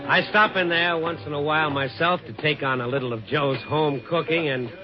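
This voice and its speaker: A man's voice: a little high, steady tone, brisk, lightly acted. An American man acting a wry, hard-boiled night reporter in a 1950s radio drama. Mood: wry narration. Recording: dull old radio recording, music behind.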